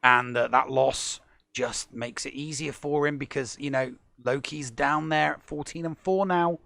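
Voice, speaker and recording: A man's voice: medium pitch, fairly even intonation, a little slow, creaky, a bit bright, dry recording, clean studio sound. A man co-hosting a gaming stats show, recapping match results like a sportscaster. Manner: sportscaster-style recap with dramatic lines. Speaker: co-host. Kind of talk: casual gaming show commentary.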